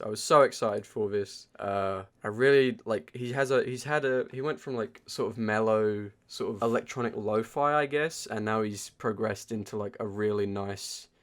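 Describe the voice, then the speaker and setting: A man's voice: fairly low pitch, steady tone, normal speed, hardly any pauses. A man co-hosting a podcast, giving casual, enthusiastic reviews in informal, sweary language. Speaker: podcast co-host. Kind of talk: casual pop culture review chat.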